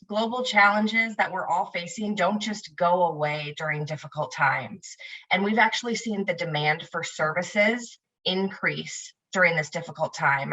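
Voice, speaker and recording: A woman from the United States presenting a webinar over a video call, upbeat and welcoming, speaking in clear prepared phrases. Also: on the low side, pushed and bright. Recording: dull lo-fi video call audio.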